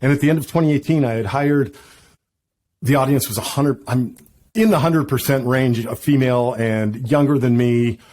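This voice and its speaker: A man's voice: mid-range voice, normal speed, a little mellow. A middle-aged man as a podcast guest, chatting casually with enthusiasm and many restarts. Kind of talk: casual interview chat.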